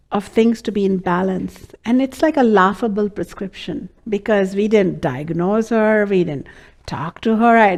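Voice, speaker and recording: A woman's voice: mid-range voice, a little mellow. A woman with an Indian accent teaching a class, telling a case study in an earnest way with many fillers. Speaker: Ayurveda teacher. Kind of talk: lecture to students.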